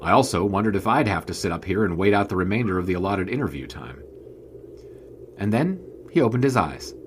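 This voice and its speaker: An actor playing a 1920s sports writer who narrates in a dry, wry, formal manner. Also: male voice, low voice, animated, fairly quick, a little hiss or hum. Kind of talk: audio drama narration and acting.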